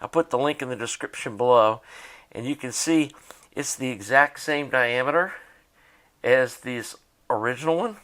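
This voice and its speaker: A man's voice: medium pitch, normal speed, fairly bright tone. A man giving a casual, unscripted narration of a repair, thinking aloud in a plainspoken way as he works. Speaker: hobbyist demonstrating a tape deck repair.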